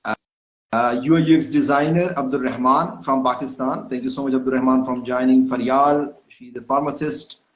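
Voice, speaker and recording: A man's voice: mid-range voice, steady tone, normal speed, reverberant, dull audio. A man hosting a live stream, greeting viewers one by one and hesitating often with fillers. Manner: hesitant, many fillers and restarts. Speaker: host. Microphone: phone or video call mic.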